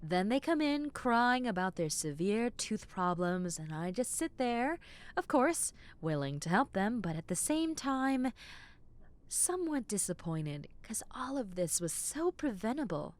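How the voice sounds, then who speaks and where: A young adult woman voicing a kind, caring dentist in an audio roleplay, speaking softly and reassuringly, often dropping into a whisper, with a playful, teasing edge. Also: on the high side, very animated, measured pace. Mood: soothing. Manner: soft, gentle and reassuring, slipping into whispers; playful, with frequent soft giggles. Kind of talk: ASMR roleplay.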